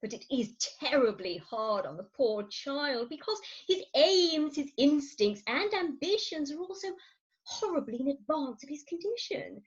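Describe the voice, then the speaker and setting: A woman's voice: high-pitched, sing-song, unhurried, dull audio. A woman acting a fretful, melodramatic Victorian wife, swooping voice. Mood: woeful. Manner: melodramatic, confiding, emphatic. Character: fretful, theatrical Victorian wife of thirty-six. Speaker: actor in an amateur staged reading. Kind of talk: Victorian farce stage acting. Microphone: video call.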